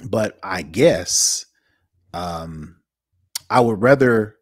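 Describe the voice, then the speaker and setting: A man's voice: low voice, expressive, extremely slow, no echo, studio quality. A man co-hosting a tech podcast, chatting casually and hesitantly with many fillers. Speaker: podcast co-host.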